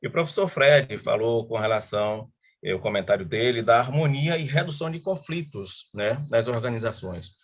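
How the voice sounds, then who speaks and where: A man's voice: medium pitch, expressive, normal speed. A man moderating a panel over a video call, formal and hesitant with filler sounds.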